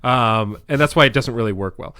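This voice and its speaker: A man co-hosting a podcast in casual chat, talking loudly and rambling with frequent laughs. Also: medium pitch, a little fast, clean tone, no room sound. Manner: loud, rambling, laughs often. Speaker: podcast co-host.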